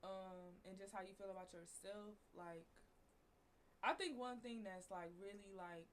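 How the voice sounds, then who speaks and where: A woman's voice: medium pitch, fairly even intonation, unhurried, a bit bright, a little hiss or hum. Rambling twenty-something woman, casual chat. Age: 20s. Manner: loose and rambling, lots of "like" and "um". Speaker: podcast co-host.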